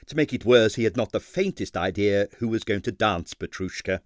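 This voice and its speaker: A man narrating a comic novel, expressive and wry, in a scripted storytelling style. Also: fairly low pitch, fairly quick, dry recording, studio quality. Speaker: audiobook narrator.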